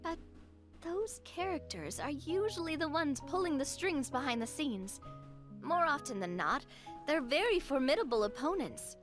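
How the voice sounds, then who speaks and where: An actress playing a lively, theatrical young woman with an expressive, chatty way of talking. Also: sits high, moderate pace. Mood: thoughtful, playful. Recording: faint music behind the voice.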